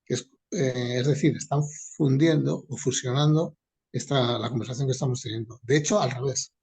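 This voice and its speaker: Gravelly, husky man making a comment. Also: medium pitch, fairly even intonation, normal speed, darkish tone. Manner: casual, rambling comment. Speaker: audience participant.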